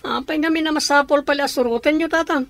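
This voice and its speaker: Earnest Ilocano schoolkid, younger sibling, high voice, full of questions, radio comedy drama. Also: female voice, fairly even intonation, fast talker. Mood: questioning.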